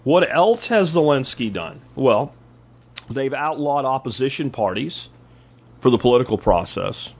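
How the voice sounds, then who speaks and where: A man's voice: mid-range voice, expressive, measured pace, slightly rough, lo-fi sound. A man hosting a podcast, delivering an opinionated political monologue in an emphatic way, with rhetorical questions and repeated phrases. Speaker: podcast host.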